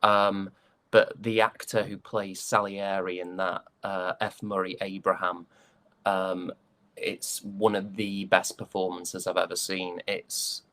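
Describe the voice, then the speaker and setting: A man from Yorkshire with a softened British accent, chatting reflectively and hesitantly as an interview guest. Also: low voice, level tone, slow pace. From Yorkshire, England. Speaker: interview guest, stage and voice actor. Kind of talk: casual interview chat.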